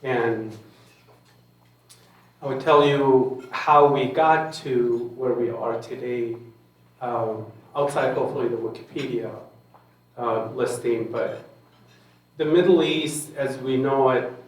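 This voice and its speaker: A man from Syria speaking as a forum panelist, measured, with many ums and restarts. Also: mid-range voice, fairly even intonation. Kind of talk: forum talk. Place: large echoey meeting hall. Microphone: handheld microphone. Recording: echoey hall, mic far off.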